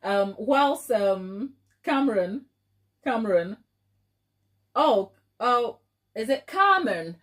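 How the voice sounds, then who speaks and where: A woman's voice: fairly high pitch, animated, slow, pauses often, a bit bright. A woman with a Ghanaian accent hosting a casual live chat, affectionate and playful, often repeating phrases for emphasis. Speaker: baking livestream host.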